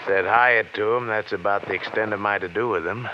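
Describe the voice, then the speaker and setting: A male actor voices a laconic hotel clerk from an old radio play. His lowish voice is folksy, casual and terse. The recording is muffled, with faint noise. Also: steady tone, brisk, lightly acted. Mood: offhand, guarded. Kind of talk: 1950s radio drama acting.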